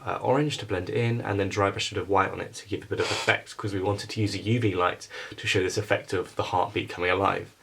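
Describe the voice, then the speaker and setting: A man's voice: low voice, creaky, fairly bright tone. A young man giving an informal explanatory narration about how something creative was made. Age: young adult. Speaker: student puppet-show maker narrating a making-of.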